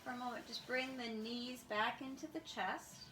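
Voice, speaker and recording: A woman leading a guided yoga class, giving calm, step-by-step instructions. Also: fairly high pitch, moderate pace. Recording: echoey room with music behind. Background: music.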